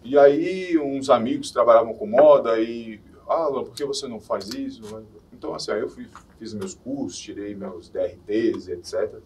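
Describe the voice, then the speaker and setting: A man in his forties, from Minas Gerais in Brazil, chatting easily as a podcast guest and often letting his sentences trail off softly. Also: mid-range voice, moderate pace, hardly any pauses, a little hiss or hum. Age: 40s. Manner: easygoing, laughs off, trails off softly. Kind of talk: casual interview chat. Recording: distant mic.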